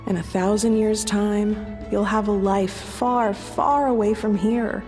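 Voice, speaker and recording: A woman plays a steadfast, wistful female clan leader in a video game. She speaks slowly and gently with earnest resolve. Long reverb surrounds the voice, with faint music behind. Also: medium pitch, steady tone, natural voice. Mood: resolute, encouraging.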